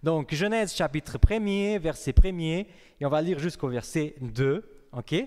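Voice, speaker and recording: Young male preacher, fairly high voice, chatty with the congregation, reverberant church hall. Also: a little slow, dark tone. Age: young adult. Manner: conversational, asks the congregation questions. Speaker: pastor. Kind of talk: sermon.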